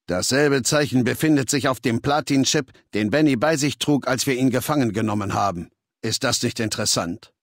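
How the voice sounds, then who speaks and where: An actor playing a ruthless, imperious male legion leader in a video game, with a measured, commanding, contemptuous manner. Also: medium pitch, gravelly, slightly rough, no echo, studio quality. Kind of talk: scripted game dialogue.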